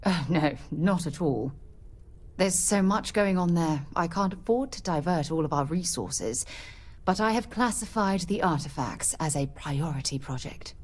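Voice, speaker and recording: A woman playing an earnest, thoughtful leader of space explorers in a video game, measured and slightly reserved. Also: on the low side, expressive. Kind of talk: video game dialogue acting.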